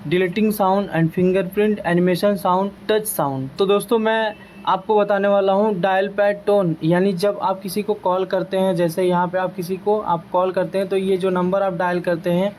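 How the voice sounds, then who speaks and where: A man's voice: high voice, darkish tone. A man with an Indian accent giving a casual step-by-step tutorial as a host, repeatedly addressing listeners as friends. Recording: noticeable background noise.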